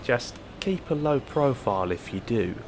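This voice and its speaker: A man playing an earnest, polite activist with a gravelly voice in a sci-fi audio drama. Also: medium pitch, big pitch swings, normal speed, slight background noise, close to an everyday voice. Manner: polite, explanatory. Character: earnest, soft-sell male android-rights activist.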